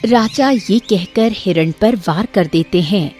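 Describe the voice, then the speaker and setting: Female storyteller, scripted narration, medium pitch, soft music behind. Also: slow.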